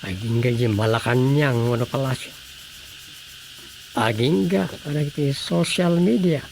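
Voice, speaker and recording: Drowsy comic Ilocano village secretary, gravelly, muttering, radio drama comedy. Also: male voice, medium pitch, measured pace, darkish tone, a little hiss or hum. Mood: musing.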